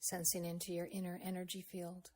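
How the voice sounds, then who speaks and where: Female meditation guide, breathy and husky, even-toned delivery, gentle and unhurried with generous pauses. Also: fairly low pitch. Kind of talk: slow guided meditation, with a poem recited.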